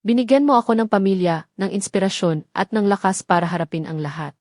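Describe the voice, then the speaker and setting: A woman's voice: mid-range voice, brisk, darkish tone, no echo, studio quality, natural voice. A woman voicing a determined, protective billionaire husband in an audio drama, earnest and firm. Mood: tender.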